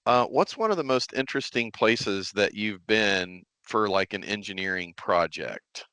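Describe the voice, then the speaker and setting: A man's voice: on the low side, lively intonation, measured pace, sharp tone, clear, resonant tone, no room sound. A man hosting a podcast in a casual interview style. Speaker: podcast host.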